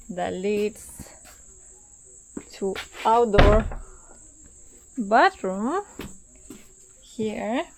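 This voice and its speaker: A pregnant woman, speaking slowly and enthusiastically, repeating words as she narrates her travel vlog. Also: mid-range voice, a little mellow, a little hiss or hum, low-quality recording. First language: Spanish. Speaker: travel vlogger. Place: outdoors at a lodge.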